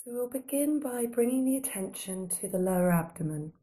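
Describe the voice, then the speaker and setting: A woman guiding a body scan meditation in a calm, gentle, unhurried manner, giving slow soothing instructions with long pauses. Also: a little high. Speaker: meditation guide.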